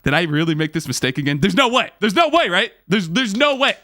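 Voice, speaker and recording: Fast, high-pitched animated man telling a story. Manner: excitable, slangy, repeats phrases for emphasis. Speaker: streamer. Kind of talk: animated casual storytelling to viewers.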